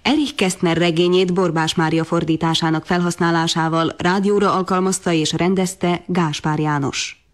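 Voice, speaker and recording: Woman announcer for radio credits, slightly deep register, formal and orderly list reading. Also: fairly even intonation. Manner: formal, measured listing. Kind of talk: scripted cast credits announcement.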